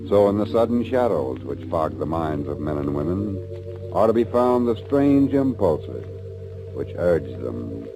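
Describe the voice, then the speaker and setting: A man's voice: low-pitched, big pitch swings, a little slow, low-quality recording. A man narrating a 1940s radio suspense show as announcer, in a solemn, ominous and theatrical manner. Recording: old 1940s radio recording. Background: dramatic music.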